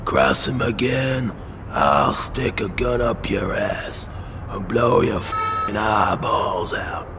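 A menacing mob enforcer for a crime video game. The voice is lowish and gravelly, drawn out slowly and threateningly, with clear background noise. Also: male voice, animated. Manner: slow, threatening delivery. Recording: noisy background with ambience.

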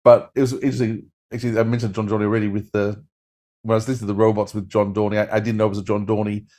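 Low-voiced, enthusiastic middle-aged man. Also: level tone, racing, mellow. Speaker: podcast host. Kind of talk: casual review chat. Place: indoors at home. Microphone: video call.

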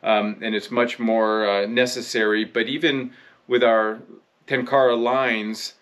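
A middle-aged American man in his fifties presenting fishing gear in a casual, instructional vlog style, explaining in a halting, conversational way. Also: medium pitch, flat delivery, a bit bright. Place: indoor room with rod racks.

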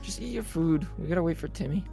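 A man's voice: on the high side, quick pace, warm tone, soft background music, lightly acted. A man voicing a blunt, sarcastic schoolboy sidekick in comedy dialogue, casual and dry. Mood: hushed.

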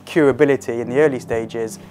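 Measured British man, clinical and explanatory. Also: medium pitch, steady tone, noisy background. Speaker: consultant colorectal surgeon. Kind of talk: explanatory interview aimed at doctors.

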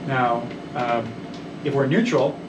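A man's voice: mid-range voice, steady tone, slow. A young man who installs marine electrical systems, explaining the setup on a boat in a technical, rambling way with many ums and restarts. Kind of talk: technical walkthrough interview. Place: small wooden boat cabin and engine room. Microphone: camera a few feet away. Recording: echoey cabin, distant mic, air conditioning hum.

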